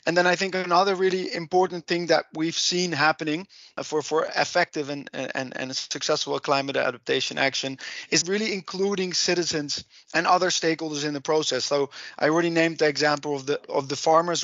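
Dutch-accented man, halting podcast talk. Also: a little high, a bit bright, no echo, highs cut off. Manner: halting, many restarts and fillers. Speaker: project and policy coordinator. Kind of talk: conversational podcast explanation.

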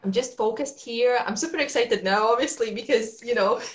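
A woman's voice: fairly high pitch, fairly quick. A woman from Latvia with a Latvian accent, talking as a forex trader in a casual video-call interview, chatty with lots of fillers. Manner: chatty, laughs often, many fillers. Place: small indoor room at night. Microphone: video call webcam.

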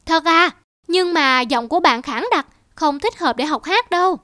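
A woman's voice: high voice, animated, moderate pace, sharp tone, dry recording, clean studio sound. A woman voicing a small, self-assured singing cicada in a cartoon fable, breezy and frank. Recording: music under the voice.

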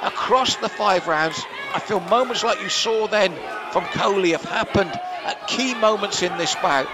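A man's voice: high voice, a little slow. A man giving live ringside commentary on a kickboxing bout, analytical and offering his own view of the scoring. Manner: analytical, gives personal opinions on the scoring. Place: indoor kickboxing venue with live audience. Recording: loud crowd and venue noise behind.

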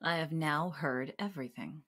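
A skeptical policewoman from a detective radio play. Her voice sits low, and she talks in a terse, dry way. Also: moderate pace, fairly bright tone. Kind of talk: radio detective drama acting.

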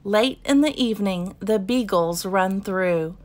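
A woman reading a children's picture book aloud as scripted narration, in measured, deliberate phrases with pauses. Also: mid-range voice, a little mellow. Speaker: storybook reader.

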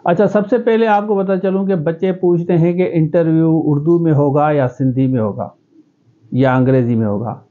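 A man's voice: a little high, moderate pace, phone-quality sound. A middle-aged man from Pakistan with a Sindhi accent, a native Sindhi speaker, giving earnest advice to camera as an educationist in a small room. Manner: earnest, gestures with both hands. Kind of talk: direct-to-camera advice vlog.

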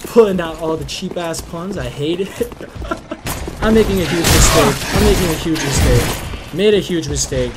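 A young man giving casual, excitable commentary while he plays a game, swearing a lot and yelling at it, going hoarse by the end. He talks into a headset mic in a small bedroom. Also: on the high side, unhurried, very noisy. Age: young adult. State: hoarse, losing his voice. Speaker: gaming YouTuber. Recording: game music loud behind the voice.

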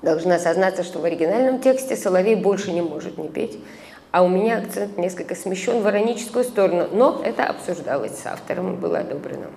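A middle-aged woman reading an academic paper aloud at a conference, measured, with hesitant fillers and recited verse. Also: lowish voice, expressive, vocal fry, a little mellow. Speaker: conference speaker, translator. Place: conference hall, indoors. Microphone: stand microphone at a lectern. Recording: reverberant hall, faint background noise.

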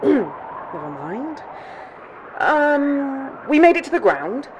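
An actress playing a plucky young bush pilot, delivering a confiding dramatic monologue in an audio play. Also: female voice, high-pitched, big pitch swings, very slow, noisy background, full character voice. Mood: flustered. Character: plucky young female bush pilot narrating her story.

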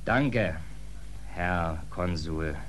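An actor playing a stubborn, proud sailor without papers in a 1940s German radio drama, sardonic and indignant in colloquial speech. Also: male voice, sits low, very slow, fairly bright tone, full character voice. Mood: resigned, grumbling. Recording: noisy old 1940s radio recording.

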